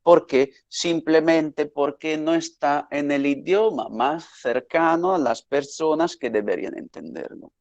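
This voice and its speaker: A man, a native Italian speaker with an Italian accent, lecturing off the cuff at a conference in a deliberate, emphatic way. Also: a little high, darkish tone, no room sound. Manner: deliberate, emphatic, with rhetorical questions and repetitions. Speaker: academic giving a conference lecture. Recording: dull lo-fi audio.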